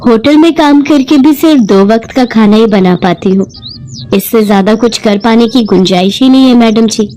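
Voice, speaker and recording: A woman's voice: a little high, moderate pace, dark tone, faint music behind, lightly acted. A woman voicing a poor, humble young hotel worker, earnest and deferential, in a cartoon moral story. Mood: resigned.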